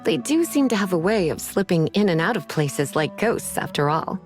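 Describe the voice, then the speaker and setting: A woman voicing a sultry, teasing librarian who speaks in a languid, gently threatening way, in a video game with music behind. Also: lowish voice, big pitch swings, normal speed. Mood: calm.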